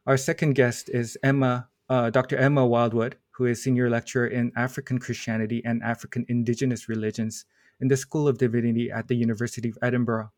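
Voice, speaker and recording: A man hosts an academic podcast, working through long formal introductions in a measured, careful way. He trips over a name now and then and fills the gaps with a soft "uh". The sound is dry and close, with an even middle pitch. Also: no room sound. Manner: measured, reads formal bios with small stumbles and fillers. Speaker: podcast host. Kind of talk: reading a guest introduction, then interviewing.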